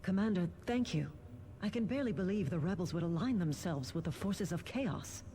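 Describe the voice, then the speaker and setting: An actress playing a brisk, earnest governor giving formal, urgent military briefings in a video game. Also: female voice, lowish voice, animated, warm tone, a little hiss or hum, close to an everyday voice. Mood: resolute.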